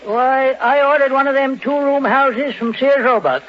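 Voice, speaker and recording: An actor playing a gloomy old New England farmer with a laconic, deadpan rural drawl in a 1940s radio comedy. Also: male voice, very high voice, moderate pace. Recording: dull noisy vintage radio broadcast.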